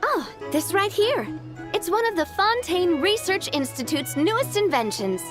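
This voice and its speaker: A woman's voice: extremely high pitch, big pitch swings, moderate pace. A woman voicing a confident, friendly young gang boss in a video game, playful and nonchalant. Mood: breezy, confident. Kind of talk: video game character acting. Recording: game music behind.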